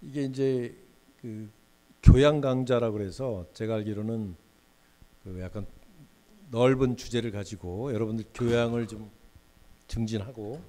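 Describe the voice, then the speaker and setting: Mellow-voiced man in his sixties lecturing. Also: lowish voice. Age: 60s. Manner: chatty, questions the audience directly. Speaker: guest lecturer. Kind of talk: university lecture. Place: university lecture hall.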